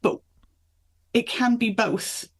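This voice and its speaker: A woman's voice: a little high, takes it slowly, breathy edge. A woman speaking as a guest author in a conversational interview, explaining things with enthusiasm. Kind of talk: conversational interview chat about folklore.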